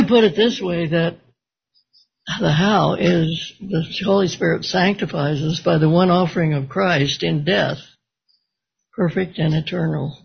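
Man, very raspy voice, slow measured answers, muffled recording. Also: a little high. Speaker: class member. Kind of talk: answering in class. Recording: dull lo-fi audio.

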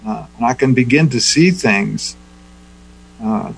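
Husky man leading Bible discussion. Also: mid-range voice, rough recording. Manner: thoughtful, unhurried teaching. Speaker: Bible study leader.

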